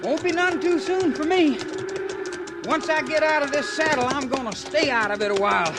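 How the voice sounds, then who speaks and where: An actor playing an eager, folksy young American cowhand in a radio western. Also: male voice, very high-pitched, moderate pace, over loud music. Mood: weary, grumbling. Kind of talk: 1950s radio western acting.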